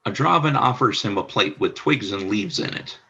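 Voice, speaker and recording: A man's voice: lowish voice, animated, normal speed, vocal fry, pushed and bright, dull audio, slight background noise. A man reading the stage directions of a radio play in a plain, matter-of-fact way. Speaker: narrator.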